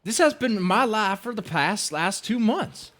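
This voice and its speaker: A man's voice: high voice, big pitch swings, a little slow, fairly bright tone, pitched above the performer's own voice, full character voice. A man voicing an aggrieved, spiteful blogger in a whiny, exaggerated, indignant dramatic reading. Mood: aggrieved, defiant. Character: aggrieved, spiteful male blogger ranting about his enemies.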